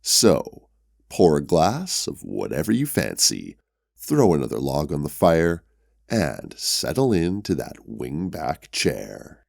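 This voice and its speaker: Very deep, gravelly man's voice. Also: wildly swooping pitch, takes it slowly, a little mellow, no room sound, studio quality. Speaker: podcast host and tabletop player. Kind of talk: scripted horror intro narration, then casual roleplay.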